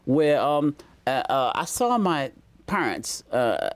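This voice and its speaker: A man's voice: a little high, big pitch swings, slow. A man from Maryland, co-hosting a TV talk panel, telling stories and often affirming the others. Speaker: co-host.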